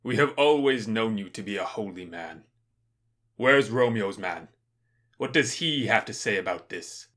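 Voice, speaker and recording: A man playing a stern, commanding ruler passing judgment with deliberate authority in an audio drama. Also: lowish voice, expressive, a bit bright. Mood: grave, commanding. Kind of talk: modernized Shakespeare audio drama acting.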